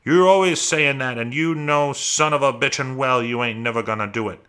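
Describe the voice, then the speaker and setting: A man voicing a stern little old woman in a storybook, an aunt who scolds in sharp, nagging rustic talk. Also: medium pitch, animated, moderate pace, voice pushed up. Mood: sharp, exasperated.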